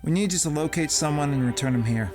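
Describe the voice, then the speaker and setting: A man's voice: on the high side, fast talker, darkish tone, faint music behind, natural voice. A man playing a smug, scheming boss in a drama, mocking and politely menacing in his delivery. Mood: businesslike. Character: smug, scheming male boss who manipulates and blackmails. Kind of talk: acted dramatic dialogue.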